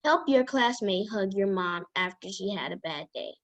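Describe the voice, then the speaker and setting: A woman reading a children's self-help book aloud as a guest reader, in a careful, halting manner. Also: mid-range voice, lively intonation, lo-fi sound.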